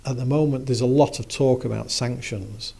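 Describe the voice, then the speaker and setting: A man in his fifties giving a measured news interview answer in an office. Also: mid-range voice, steady tone, gravelly, a little mellow, slightly rough. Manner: measured, with restarts. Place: office indoors.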